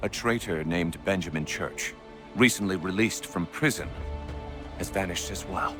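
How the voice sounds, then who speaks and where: Man playing a serious army commander, lowish voice, formal and measured, loud game music behind. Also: lightly acted. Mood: grave, wary. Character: grave, courteous male military commander. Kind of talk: dramatic video game acting.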